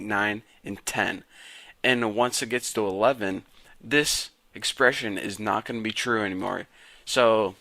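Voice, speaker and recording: A raspy-voiced young man casually teaching a programming lesson to viewers. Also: fairly low pitch, sharp tone, dry recording. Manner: casual, chatty, talks to viewers as guys. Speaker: programming tutor. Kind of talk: casual screen-recorded coding tutorial.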